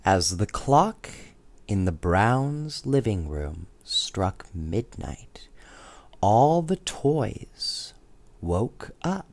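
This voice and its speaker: A man's voice: fairly low pitch, big pitch swings, drawn-out words, no room sound. A man reading children's bedtime stories aloud, with casual asides to his listeners. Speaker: bedtime story reader.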